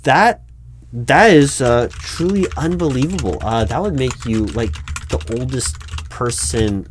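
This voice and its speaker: A man playing a politely incredulous recruiting manager in an improvised audio roleplay, speaking aloud in a halting, stammering way and repeating words. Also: medium pitch, slight background noise, lightly acted. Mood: puzzled, incredulous. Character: flustered, politely incredulous male recruiting manager interviewing someone. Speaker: job interviewer. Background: computer keyboard typing.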